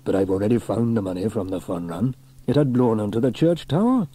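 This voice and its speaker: A man's voice: on the low side, animated, fairly quick, mellow, slightly rough. A man voicing a brave, cheerful firefighter hero in a children's storybook, upbeat and reassuring. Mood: delighted.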